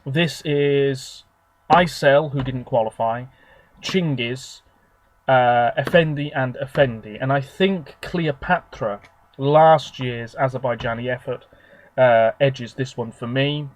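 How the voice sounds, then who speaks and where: Slightly hoarse man rambling about favourites. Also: mid-range voice, slow. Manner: rambling, chatty, opinionated. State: hoarse, sore throat. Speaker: video host. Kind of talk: casual solo commentary.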